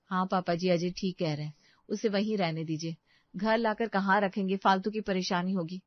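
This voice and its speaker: Casting: woman as practical young bahu, mid-pitched, polite and composed, cartoon moral tale, close dry recording. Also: fairly even intonation, moderate pace, no room sound, lo-fi sound, close to an everyday voice. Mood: reassuring. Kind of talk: Hindi moral story cartoon voice acting.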